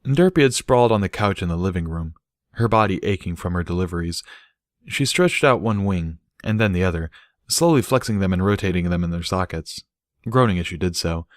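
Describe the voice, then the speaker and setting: A man's voice: deep voice, animated, brisk, dry recording, studio quality. A man reading scripted fiction as a storybook narrator.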